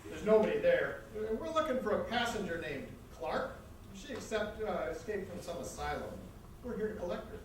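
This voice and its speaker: A man playing a blunt, excitable police detective in a staged comedy reading. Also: high voice, normal speed. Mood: businesslike. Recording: distant, echoey stage recording, faint noise.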